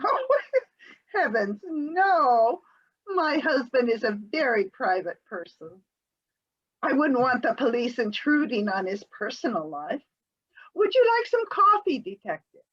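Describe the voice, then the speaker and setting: A woman's voice: high voice, sing-song, measured pace, a bit bright, lo-fi sound, heavily acted. A woman reading the part of an evasive, chattery housewife in a comic scene, with a quavering, giggly delivery over a home video call. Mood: airy. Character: flighty, evasive middle-aged housewife, breezily unconcerned. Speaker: reader in an amateur readers theater performance. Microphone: home video call mic.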